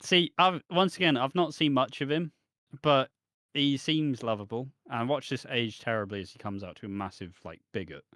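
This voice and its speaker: A man's voice: medium pitch, moderate pace, a bit bright, no echo. A British man talks through a casual podcast chat in a middling voice, rambling from one opinion to the next and restarting his sentences. The recording is close and completely dry. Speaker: podcast co-host.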